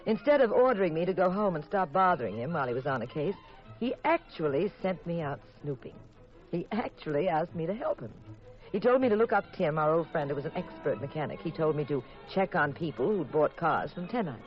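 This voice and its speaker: An eager, proud detective's wife telling a 1950s radio mystery. Her pitch moves in animated swoops. The recording is dull and old, with music behind her. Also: a little fast. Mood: perky, pleased. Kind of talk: 1950s radio drama narration.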